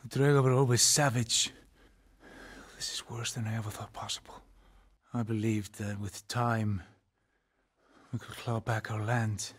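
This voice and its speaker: A man with an Icelandic accent playing a grave, weary Norse villager in a video game, with a husky, breathy voice. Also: lowish voice, steady tone, a little slow, long pauses. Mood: weary, grim. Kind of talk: dramatic video game voice acting.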